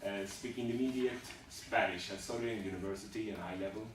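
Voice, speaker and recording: Halting man, echoey room. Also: lowish voice. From Sweden. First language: Swedish. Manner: halting, many fillers and restarts. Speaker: job applicant in a practice interview. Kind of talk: hesitant interview answers. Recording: echoey room, distant mic, background noise.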